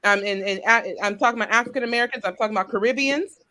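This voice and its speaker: A woman's voice: on the high side, rapid-fire, pushed and bright. A woman speaking earnestly as a panelist, speeding up when she gets stirred. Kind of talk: panel discussion.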